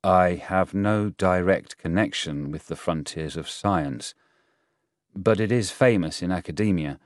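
A man voicing a serious theoretical physicist in an audiobook, explaining in a deliberate, measured way. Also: sits low, no room sound, studio quality. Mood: measured, grave.

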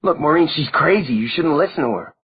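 A man's voice: a little high, expressive, brisk, slightly rough, dull audio, voice pushed up. A man voicing an anxious young vampire boy. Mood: pleading. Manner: anxious, pleading, stammering. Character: frightened teenage male vampire, earnest.

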